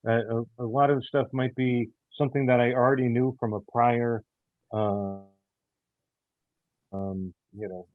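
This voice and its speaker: A man being interviewed as a writer, talking casually in halting, thoughtful phrases over a narrow, phone-like connection. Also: medium pitch, fairly even intonation, dry recording. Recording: muffled narrow phone-like line.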